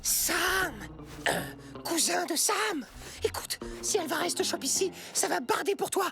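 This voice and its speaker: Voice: extremely high pitch, expressive, a little slow, gravelly, music in the background, heavily acted. An actor voicing a small, sly animal in a French cartoon, speaking in an urgent, conspiratorial manner. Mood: conspiratorial warning. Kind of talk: French cartoon dub acting.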